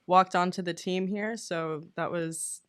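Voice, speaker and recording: A young woman from Florida, a college sailing captain, giving short casual answers that trail off softly. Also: lowish voice, steady tone, a little slow, smooth. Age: young adult.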